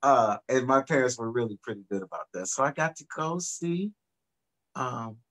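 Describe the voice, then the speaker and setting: A middle-aged man, a singer guest, talking in a relaxed, reflective way about his background on a video call from his home studio. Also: mid-range voice, normal speed. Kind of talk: casual video-call interview.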